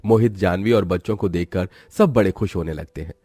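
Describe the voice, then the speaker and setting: Low-pitched man narrating, close and dry. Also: fairly quick, dark tone, husky, no room sound. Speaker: storyteller narrator. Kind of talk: scripted Hindi moral story narration.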